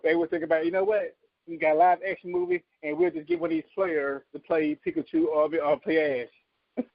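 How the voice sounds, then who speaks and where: Male co-host, highish pitch, swooping animated intonation, casual chat, dry room, dull recording. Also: a little fast, vocal fry, dry recording. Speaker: podcast co-host.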